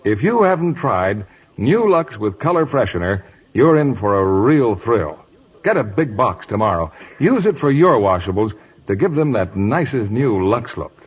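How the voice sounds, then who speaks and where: A man's voice: fairly low pitch, big pitch swings, normal speed, breathy edge. An American man announcing scripted 1950s radio commercials with an emphatic, formal sales delivery. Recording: dull, lo-fi old radio recording.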